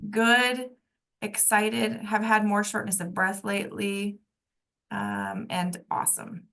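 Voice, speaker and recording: Woman instructor, casual delivery, markedly slow with heavy vocal fry. Reads short responses aloud. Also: mid-range voice, a bit bright. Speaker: instructor helping host a meeting. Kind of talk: casual talk, reading out responses.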